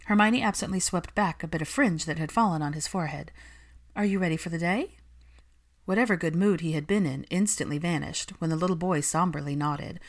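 A woman reading fan fiction as an audiobook narrator, in expressive, measured scripted storytelling. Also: low-pitched.

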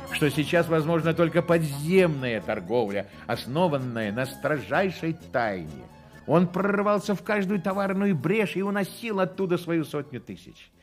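An actor narrating a satirical radio play as a wry, theatrical storyteller, with weighty, drawn-out phrases. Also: male voice, fairly high pitch.

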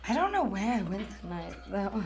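A woman's voice: mid-range voice, big pitch swings, moderate pace, soft background music. A woman of Puerto Rican roots, a podcast guest, telling stories animatedly and laughing in casual chat. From Puerto Rico.

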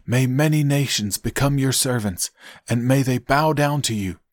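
An aged, frail father from a scripture tale, acted by a man reading aloud. He speaks with solemn weight, declaiming deliberately in a raised voice. The sound is close and dry. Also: mid-range voice, no room sound, pitched above the performer's own voice, lightly acted. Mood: solemn blessing. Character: old frail solemn father, a biblical patriarch blessing sons.

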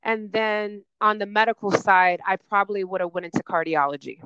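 Woman, interview guest, emphatic and confident, smooth resonant voice, dry slightly muffled sound. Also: mid-range voice, fairly quick, fairly bright tone, dry recording. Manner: emphatic, self-assured, lists credentials. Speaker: guest, fitness and wellness coach. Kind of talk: energetic interview answers.

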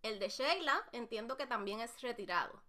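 Woman, high voice, podcast co-host, chatty. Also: animated, moderate pace, sharp tone. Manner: chatty, explaining to listeners.

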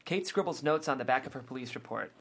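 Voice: mid-range voice, quick pace, creaky. A narrator reading scripted stage directions aloud at a table read.

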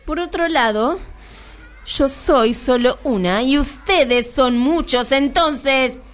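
A woman's voice: high-pitched, slow. A woman playing a gleefully wicked, bossy kindergarten teacher in comic radio theatre, mock-sweet and imperious. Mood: impatient, scolding.

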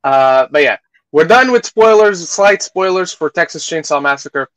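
A man hosting a podcast, chatting casually through film reviews, haltingly repeating phrases and acting out imagined reactions. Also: a little high, animated, fairly bright tone, no room sound, rough recording. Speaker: podcast host reviewing films.

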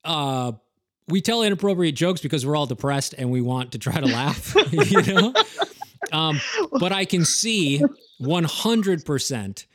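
A man hosting a casual interview, talking informally and haltingly as he thinks aloud. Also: fairly high pitch, animated. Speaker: podcast host, former wildland firefighter.

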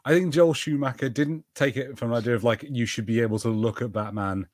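A man co-hosting a casual film podcast, explaining in halting bursts and acting out mock quotes. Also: medium pitch, fairly even intonation, a little mellow, no room sound, studio quality. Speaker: podcast co-host. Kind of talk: casual film watch-party banter.

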